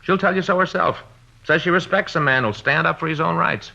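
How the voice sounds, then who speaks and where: A man's voice: a little high, fairly quick, vocal fry, bright, forceful tone, heavily acted. An actor in a 1950s radio drama playing a chatty, cocksure middle-aged American detective, folksy and insistent with his advice. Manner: folksy, insistent, know-it-all. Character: cocksure, chatty married male police detective giving advice. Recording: dull, band-limited old radio recording.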